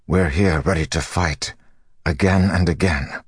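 A male narrator voicing a grim, weary hero with a low, gravelly, controlled voice in an audiobook. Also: measured pace, no echo, clean studio sound. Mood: grim resolve. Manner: growling, clipped, controlled.

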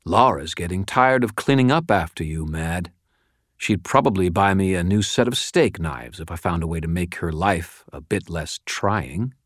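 A man portrays a calm, menacing young male aristocrat for an audiobook. He sounds tired of it all, measured and cutting, recorded very dry. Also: low-pitched, no room sound.

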